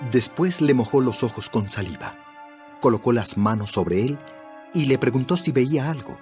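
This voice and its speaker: A man's voice: mid-range voice, darkish tone. A man narrating a dramatized audio Bible in a scripted storytelling style. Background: background music.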